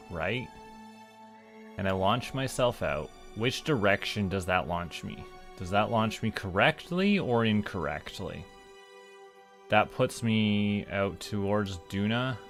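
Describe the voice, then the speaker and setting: A Canadian man with a fairly low voice hosts a gaming livestream. He gives casual commentary to his chat as he plays, with music clearly running behind him. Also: fairly bright tone. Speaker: livestream host playing a space simulation game.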